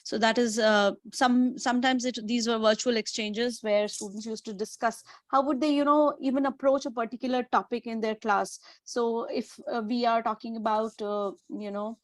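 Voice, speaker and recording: Woman teacher from India, fairly high voice, halting delivery, dry sound. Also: fairly even intonation, no room sound, low-quality recording. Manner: hesitant, many fillers. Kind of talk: webinar discussion.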